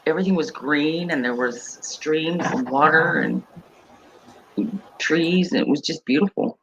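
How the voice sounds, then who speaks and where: A woman's voice: sits low, sing-song, measured pace, fairly bright tone, highs cut off, a little hiss or hum. A middle-aged woman hosting a casual livestream chat over a video call, a chatty storyteller who laughs easily. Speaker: livestream host.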